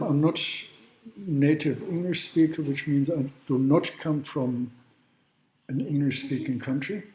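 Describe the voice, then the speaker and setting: A man from Germany, a law professor giving a university lecture in a halting way, with restarts and throat clearing. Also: fairly high pitch, warm tone, slight background noise. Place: large classroom. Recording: echoey room.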